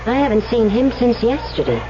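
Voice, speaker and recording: A woman's voice: a little high, big pitch swings, fairly quick, background noise. An actress playing a trusting, easygoing young woman on holiday, breezy and ingenuous, in a 1970s radio drama. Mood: dreamy, cheerful. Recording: dull, band-limited 1970s radio recording.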